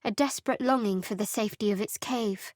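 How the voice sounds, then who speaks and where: A woman narrating a fantasy video game in measured, evocative storytelling. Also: mid-range voice, darkish tone, dry recording, studio quality, natural voice. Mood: steady. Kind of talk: scripted second-person game narration. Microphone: close studio mic.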